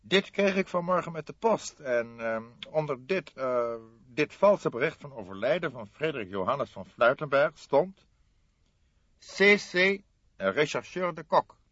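A man's voice: a little high, lively intonation, unhurried, fairly bright tone, no echo, lo-fi sound, voice pushed up. A man voicing a stout, indignant notary in an audiobook, flustered and stammering with pompous formal wording. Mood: hesitant, insistent. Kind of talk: audiobook character voice.

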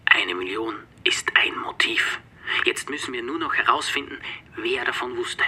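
An actor in a radio play as a businesslike police assistant, husky and matter-of-fact. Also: male voice, mid-range voice, animated, moderate pace, pushed and bright, in a whisper, full character voice. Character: businesslike male police assistant reporting to his chief. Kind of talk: German radio play acting.

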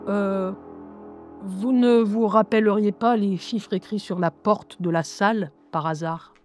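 A woman playing a lively young schoolgirl who narrates her life in a wry, confiding, expressive way in an audio drama. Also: medium pitch, a little slow, faint music behind, natural voice. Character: lively eleven-year-old schoolgirl recounting life with inner voices.

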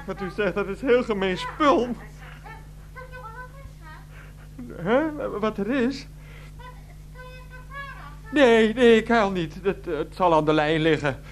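A grown son stuck on a phone call with his mother in a radio drama. He is whiny and exasperated, trying to placate her. His pitch swings widely and his delivery is slow, with many pauses. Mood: shocked, tearful. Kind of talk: radio play acting, one-sided phone conversation.

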